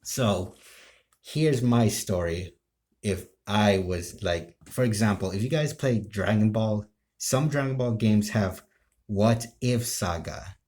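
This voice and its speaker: A man telling a story casually, in halting, broken phrases with frequent restarts. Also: fairly low pitch, animated, a little mellow. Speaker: amateur video creator telling his role-play ideas.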